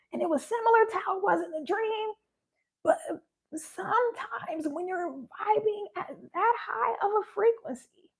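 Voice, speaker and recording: A woman's voice: very high voice, vocal fry. A woman who is losing her voice talks casually and earnestly about spiritual matters on a live stream, repeating herself and laughing often. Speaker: spiritual vlogger. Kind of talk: casual spiritual livestream talk.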